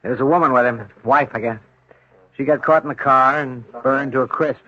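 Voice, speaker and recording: An actor playing a brisk, flippant emergency doctor in a radio drama, with a clipped, offhand delivery. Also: male voice, medium pitch, bright, forceful tone. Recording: dull old radio recording.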